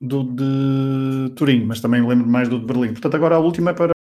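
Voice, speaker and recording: A man's voice: mid-range voice, fairly even intonation, quick pace, mellow. A man with a European Portuguese accent in a casual quiz chat, hesitant and often restarting his words. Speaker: quiz contestant.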